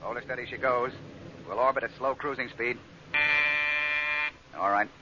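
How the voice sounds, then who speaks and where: An actor in an old-time radio drama playing a gruff, impatient freighter captain who gives brusque, clipped commands. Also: male voice, mid-range voice, fairly even intonation, moderate pace, close to an everyday voice. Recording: noisy, muffled vintage radio recording.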